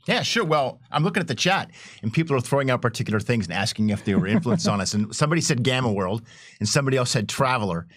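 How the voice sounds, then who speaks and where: A man's voice: medium pitch, expressive, fast, a bit bright, dry recording. Middle-aged man reminiscing in dry sound. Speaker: game developer on a panel. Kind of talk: casual chat.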